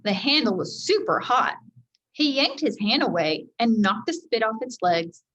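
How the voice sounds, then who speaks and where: A woman reading a children's chapter book aloud in an expressive teacherly style, speaking directly to her young listeners. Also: a little high, moderate pace, poor audio. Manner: addresses young listeners directly, acts out dialogue.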